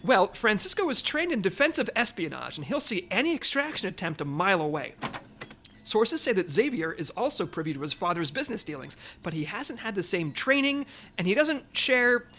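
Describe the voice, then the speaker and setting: A woman's voice: medium pitch, very animated, a little fast, fairly bright tone, slight background noise. A woman playing a businesslike, patient intelligence section chief giving a briefing in a comedy audio drama, authoritative and diplomatic.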